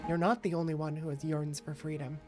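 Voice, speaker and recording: A woman's voice: sits low, flat delivery, brisk, music in the background. A woman livestreaming a game playthrough as a VTuber, talking casually in a chatty, affectionate, playful manner. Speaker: VTuber streamer.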